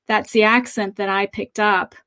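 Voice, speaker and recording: A woman with an American accent, co-hosting a casual podcast and talking in a reflective, measured way with frequent pauses and ums. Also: mid-range voice, level tone, fairly bright tone. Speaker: podcast co-host.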